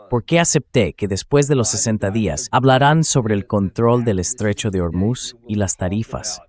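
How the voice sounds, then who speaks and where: Hombre de voz grave comentando política. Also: male voice, fairly low pitch, darkish tone. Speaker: host of a political commentary show. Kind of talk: political commentary, dubbed translation read by an AI voice.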